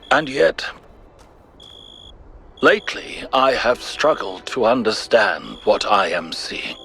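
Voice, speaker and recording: An actor playing a thoughtful, principled ancient Greek philosopher in a video game, giving a grave, measured, rhetorical monologue. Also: male voice, medium pitch, bright, forceful tone, exaggerated voice. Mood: puzzled. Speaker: narrator of philosophical audio logs. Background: music.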